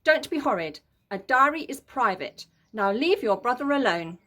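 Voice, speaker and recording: A woman's voice: a little high, very animated, normal speed, sharp tone, exaggerated voice. A woman voicing a stern, reproachful mother telling off her children in a storybook. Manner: firm, reproachful. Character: stern, scolding mother of two boys.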